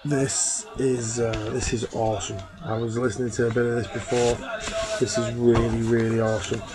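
A man in his thirties, a little hoarse, talks casually into a webcam in a small room at home. He rambles and fills his pauses with ums and uhs. Music plays clearly behind him the whole time. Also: medium pitch, little pitch movement, poor audio. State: slightly hoarse. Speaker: vinyl collector vlogger. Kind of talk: casual chat. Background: music playing.

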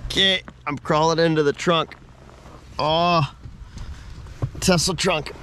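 A man's voice: on the high side, steady tone, slow. A man hosting a casual camping vlog, chatting informally and using slang, recorded in and around a parked car. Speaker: vlog host. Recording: clear background car noise.

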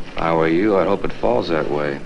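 Terse male investigator, deep and dry, faintly noisy vintage crime film sound. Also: fairly quick, gravelly, muffled recording. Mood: dry, businesslike. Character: businesslike male investigator handing out an undercover assignment. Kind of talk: mid-century crime film acting.

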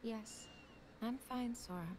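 An actress playing a gentle, composed young woman warrior in a game, speaking softly and earnestly. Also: a little high, slow, a little hiss or hum. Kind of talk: dramatic game cutscene acting.

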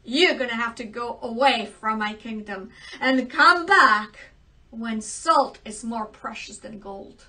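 A woman's voice: high voice, takes it slowly, long pauses, bright, forceful tone, full character voice. A woman voicing an indignant old king who scolds his daughter, recorded from a distance. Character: grumpy old king, a father demanding love. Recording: distant mic.

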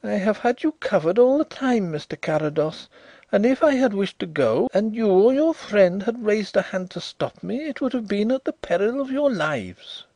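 A man voicing a proud, guarded young man of about twenty-five who flares into excited outbursts. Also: sits high, normal speed, vocal fry, no echo, voice pushed up, exaggerated voice. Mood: melancholy triumph.

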